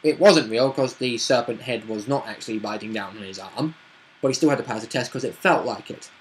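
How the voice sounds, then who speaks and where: A man casually recapping a tabletop roleplay session as one of its players, in a rambling, halting, unscripted way. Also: mid-range voice, slight background noise.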